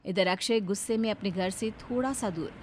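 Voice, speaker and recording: A woman's voice: medium pitch, moderate pace, darkish tone, slight background noise. A woman giving scripted narration of a story.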